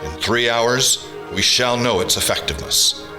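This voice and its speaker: A man playing a grave army general in a radio drama, formal, measured and authoritative. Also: mid-range voice, over music, natural voice. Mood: solemn. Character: commanding, grave army general briefing officials.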